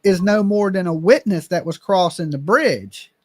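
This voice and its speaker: A man's voice: sits high, moderate pace, no echo. A man hosting a casual chat, blunt and opinionated, swearing now and then.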